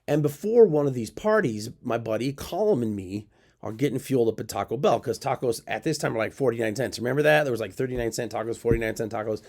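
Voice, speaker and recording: A man's voice: mid-range voice, expressive, quick pace, a little mellow. A middle-aged man telling a rambling personal story in a casual, self-deprecating way, full of fillers and asides. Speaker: storyteller host.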